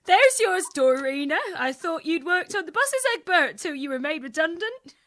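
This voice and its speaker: A woman playing a friendly, patient pub barmaid, cheery and gently sceptical, in a comedy radio play. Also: very high-pitched, expressive, normal speed, full character voice.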